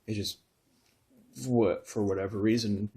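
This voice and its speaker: A man in his thirties from Northern California who tells his own story in a soft, halting way, full of pauses and restarts, sometimes close to a whisper. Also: fairly low pitch, flat delivery, slightly rough. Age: 30s. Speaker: vlogger telling his story. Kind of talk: personal confessional vlog.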